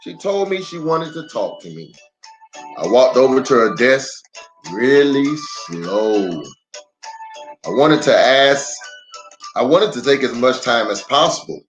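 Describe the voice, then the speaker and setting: A man reading a children's story aloud in an expressive, dramatic way with deliberate pauses. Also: mid-range voice. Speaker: storyteller, pastor. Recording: roomy echo, faint music.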